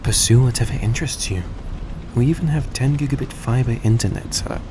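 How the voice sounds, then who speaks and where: A man playing a courteous, gentle vampire in an intimate audio roleplay, speaking politely and a little hesitantly to the listener. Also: medium pitch, brisk, natural voice. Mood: reassuring, earnest. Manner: soft-spoken, polite, hesitant, formal phrasing. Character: courteous, gentle male vampire repaying a debt. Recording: steady background noise behind voice.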